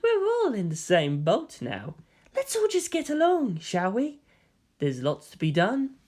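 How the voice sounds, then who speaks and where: A man's voice: high voice, wildly swooping pitch, unhurried, darkish tone, pitched above the performer's own voice, heavily acted. A man voicing a cheerful, sly young male schemer in a story, with an airy and playful manner.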